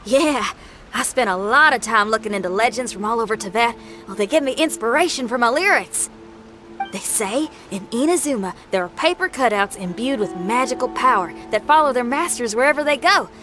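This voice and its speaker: A woman's voice: fairly high pitch, lively intonation, brisk, over music, lightly acted. A woman playing a spirited, folksy young female musician in a video game. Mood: intrigued. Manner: folksy, exuberant. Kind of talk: animated game character acting.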